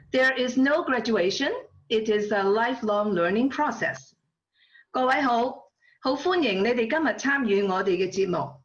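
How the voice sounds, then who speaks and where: A woman giving a short, brisk, modest self-introduction as an opera company coordinator. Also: a little high, highs cut off.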